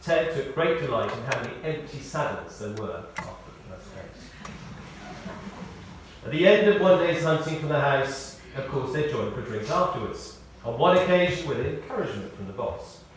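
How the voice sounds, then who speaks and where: Male eulogist, measured and drily humorous storyteller, reading a tribute in a very reverberant church, off-mic with faint noise. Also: mid-range voice, lively intonation, far from the mic. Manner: measured storytelling with dry humour. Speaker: eulogist at a memorial service. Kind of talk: prepared spoken tribute, read aloud. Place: speaking in a large church.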